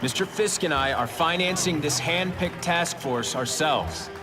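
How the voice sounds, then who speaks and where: An actor playing a young corporate heir in a video game, making a formal press announcement. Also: male voice, fairly high pitch, unhurried, in a large hall, lightly acted. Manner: polished and formal. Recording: game audio over music.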